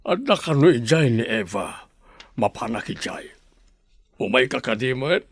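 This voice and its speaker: A man's voice: medium pitch, big pitch swings, unhurried, breathy edge, dry recording, studio quality, heavily acted. A man playing a stern, resentful older brother in a radio drama. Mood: serious, decisive. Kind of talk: Ilocano radio drama acting.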